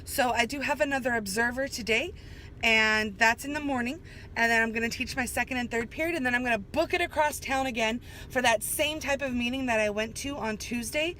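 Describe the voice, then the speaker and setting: A woman teacher vlogging casually, chatty and upbeat with rambling asides, her voice hoarse as it comes back from being lost. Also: on the high side, fairly bright tone, slight background noise. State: hoarse, recovering voice. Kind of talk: casual vlog.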